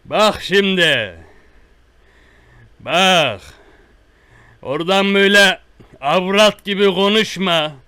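Prank-call menace: man playing a boastful country tough guy, high bright voice, unhurried threats. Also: smooth, dry recording, exaggerated voice. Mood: menacing. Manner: slow drawn-out threats, repetitive. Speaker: prank caller. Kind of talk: one-sided prank call.

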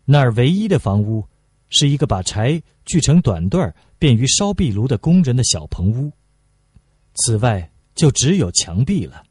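A man's voice: mid-range voice, a little mellow, breathy edge, dry recording. A man reading a novel aloud as a scripted audiobook narration.

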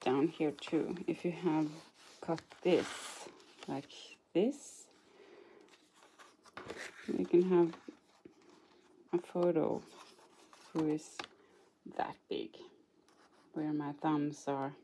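Slow, mellow Norwegian woman showing crafts. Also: low-pitched, fairly even intonation, a little hiss or hum. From Norway. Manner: chatty, hesitant, apologetic, giggles now and then. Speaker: craft channel host. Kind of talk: casual show-and-tell of a scrapbook album. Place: indoors at a craft table.

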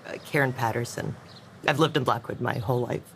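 A woman's voice: a bass voice, steady tone, brisk. An actress playing a good-natured small-town woman in an audio drama, talking casually about a local legend. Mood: amused, obliging. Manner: easygoing, casual. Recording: clear background ambience noise.

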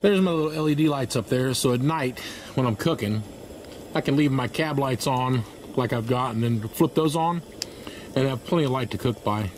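A man's voice: mid-range voice, normal speed, darkish tone, rough recording. A middle-aged man casually narrating his cooking step by step at a camp stove outdoors, recorded on a camera mic. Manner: folksy, talks through each step. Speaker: camping vlogger. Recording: outdoor camera audio with clear background noise.

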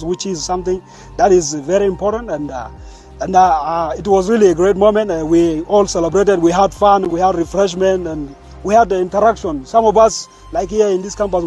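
A young student from South Sudan answers questions outdoors on a campus, his voice mellow and slightly high for a man. He speaks earnestly, pausing often with fillers. Soft music plays underneath. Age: young adult. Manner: earnest, many fillers and restarts. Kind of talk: interview answers.

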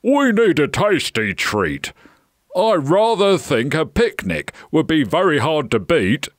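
A man voicing a big, gruff but friendly storybook giant, growling and hearty with drawn-out words. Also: fairly high pitch, sing-song, no echo, clean studio sound. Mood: resigned.

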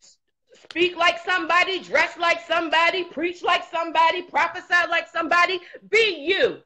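A woman's voice: very high-pitched, steady tone, moderate pace, bright, forceful tone. A woman evangelist on a video call, exhorting in rhythmic repeated phrases. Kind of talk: preaching-style talk.

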